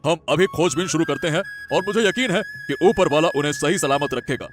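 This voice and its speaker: Gentle king, played by a man, formal, measured, reassuring, slightly raised pitch, children's story drama. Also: music in the background, close to an everyday voice. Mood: soothing.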